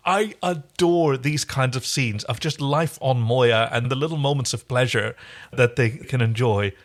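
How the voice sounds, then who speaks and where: A man co-hosting a casual recap chat about television, talking in an animated, amused way. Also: medium pitch, unhurried, faint music behind. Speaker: podcast co-host.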